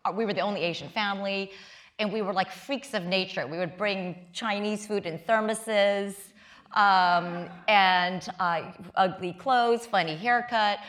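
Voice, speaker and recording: A middle-aged American woman from the Midwest talks candidly on stage. Her voice sits at an ordinary pitch for a woman, and she often restarts sentences with self-deprecating asides. The recording is a live talk in front of an audience. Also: a little slow, fairly bright tone. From United States, Midwest. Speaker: guest author and law professor. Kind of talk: conversational interview on stage.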